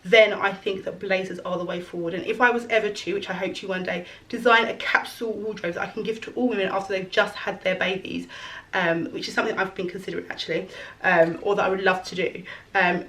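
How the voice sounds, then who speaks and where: A woman in her thirties talks through her wardrobe in a loose, rambling way, often stopping to correct herself. Her voice is going a little hoarse. She sits a little back from the mic. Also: mid-range voice, fairly even intonation, quick pace, bright, forceful tone, recorded from a distance, low-quality recording. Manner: chatty, rambling, self-correcting. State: losing her voice. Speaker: fashion vlogger. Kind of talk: casual fashion try-on chat.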